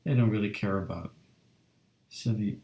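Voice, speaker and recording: A man in his thirties chatting casually on a live stream while he draws, soft and relaxed, with lots of fillers as he reads chat. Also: lowish voice, normal speed, echoey room. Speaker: streamer drawing fan art. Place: home studio room.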